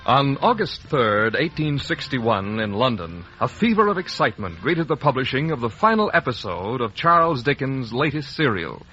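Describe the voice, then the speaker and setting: A man announcing in emphatic, showy 1950s radio style with a husky voice. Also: medium pitch, big pitch swings, normal speed, hardly any pauses, over music. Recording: dull vintage radio broadcast.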